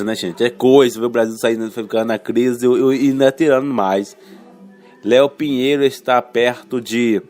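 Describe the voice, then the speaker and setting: A man from Bahia, reading radio news headlines informally and haltingly, with self-corrections, colloquial asides and a slight cold. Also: medium pitch, darkish tone. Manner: colloquial, halting, stumbles over words and self-corrects, tags remarks with questions. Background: music.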